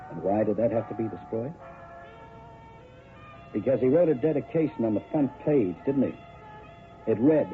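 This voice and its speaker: An actor playing a cocky, shrewd private detective in an old radio drama, explaining things in a wry, deliberate way. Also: male voice, mid-range voice, close to an everyday voice. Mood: pressing, methodical. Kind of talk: old-time radio detective acting and narration. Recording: tinny old radio broadcast recording.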